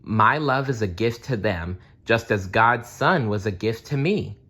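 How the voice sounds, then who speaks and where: A man voicing a kind, serene, devout woman with a gentle manner in a storybook. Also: lowish voice, lively intonation, a little slow, husky, heavily acted.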